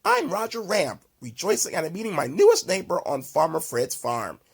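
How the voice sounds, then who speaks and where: A man's voice: a little high, huge pitch swings, normal speed, vocal fry, breathy edge, exaggerated voice. A man voicing a very polite, cheerful ram who leads a flock in a children's storybook. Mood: polite, welcoming. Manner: very polite, courtly.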